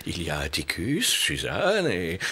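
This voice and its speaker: Casting: gentle cartoon dog doctor, bumbling and sincere, very animated delivery over music. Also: male voice, sits low, a little slow, heavily acted. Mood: awkward, tender. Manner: hesitant, stammering, earnest. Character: kind, awkward adult male dog doctor. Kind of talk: French-dubbed children's cartoon acting. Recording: music behind the voice.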